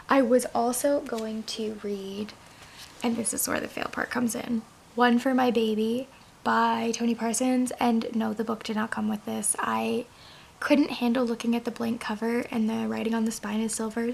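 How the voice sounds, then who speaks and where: Hoarse young woman chatting casually. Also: a little high, slight background noise. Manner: chatty, rambling, many "like" fillers. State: hoarse, raspy voice. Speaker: book vlogger. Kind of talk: casual chat to camera.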